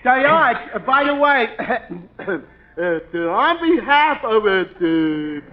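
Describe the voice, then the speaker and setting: A dim, stammering tavern regular. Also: male voice, very high-pitched, sing-song, slow pace, full character voice. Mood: fumbling. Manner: stumbling, groping for words with many uhs. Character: slow-witted male tavern regular, forgetful and stammering. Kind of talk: 1940s radio sitcom acting. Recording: hissy lo-fi old radio broadcast.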